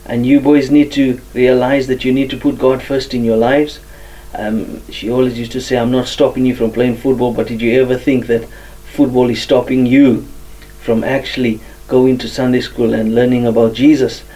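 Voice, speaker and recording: A man from Zimbabwe tells his story as a guest, pausing often and filling gaps with ums. His voice is mellow and dark. He sits a little back from the microphone. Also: medium pitch, recorded from a distance, rough recording. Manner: many fillers, soft-spoken. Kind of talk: hesitant personal testimony.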